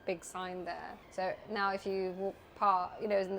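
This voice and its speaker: Steady, factual businesswoman. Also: medium pitch, moderate pace, a little hiss or hum. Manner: steady, factual, prompts her sister. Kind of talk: interview conversation.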